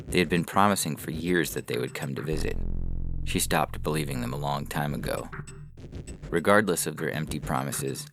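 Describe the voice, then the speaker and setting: A man's voice: very deep voice, gravelly. A man narrating a scripted science fiction story.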